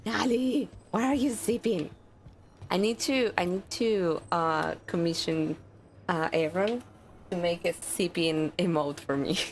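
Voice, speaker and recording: A woman's voice: on the low side, a little slow, slight background noise. Stuffy-nosed woman streamer chatting. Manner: chatty, chats with viewers. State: stuffy nose. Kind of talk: live gaming stream chat.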